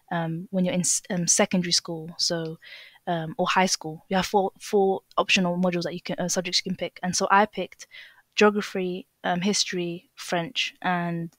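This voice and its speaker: A young English woman with a lowish voice talks casually as a podcast guest. She hesitates and restarts often, padding with fillers. The recording is dry and close. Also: steady tone, moderate pace, no room sound. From England. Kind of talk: casual interview chat.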